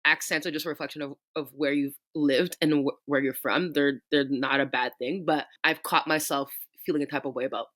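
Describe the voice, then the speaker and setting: Podcast co-host: young Canadian woman, bright low-ish voice, impassioned and profane. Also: steady tone, fairly quick, hardly any pauses. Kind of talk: casual podcast chat.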